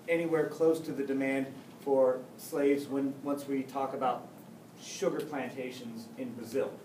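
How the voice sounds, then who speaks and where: A male high school history teacher gives a chatty lecture, cracking jokes and restarting his sentences. His voice is a touch high. It was picked up from far across an echoey classroom, with a faint hum behind it. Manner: joking, chatty, with many restarts. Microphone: camera far across the room.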